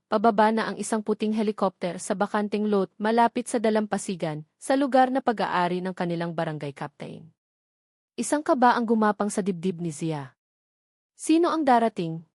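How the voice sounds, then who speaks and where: A woman with a Filipino accent reading scripted romantic drama narration in a measured, emotive way. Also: mid-range voice, a little mellow, no echo, clean studio sound. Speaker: audiobook story narrator.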